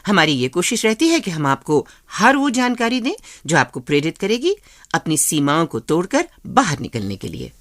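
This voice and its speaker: Lively low-pitched woman presenting radio. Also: normal speed, no echo, studio quality. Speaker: radio show co-host.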